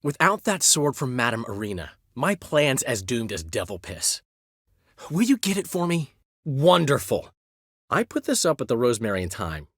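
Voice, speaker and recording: A man's voice: mid-range voice, no echo, studio quality. An actor playing a flamboyant, vain and witty middle-aged male bard in a video game, teasing and theatrical with a showman's flourish. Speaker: game character. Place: recording studio. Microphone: close studio mic.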